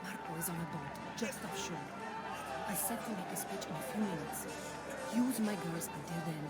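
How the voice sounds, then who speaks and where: A woman playing a shrewd, brisk Venetian brothel madam in a video game. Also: fairly low pitch, lively intonation, over a noisy crowd, natural voice. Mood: hushed instructing. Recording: loud music under the voice.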